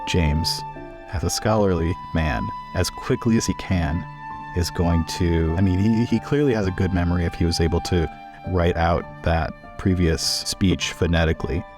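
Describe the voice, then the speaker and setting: A man's voice: low voice, normal speed. A man playing a tabletop roleplaying game, casually describing what his character does. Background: faint music.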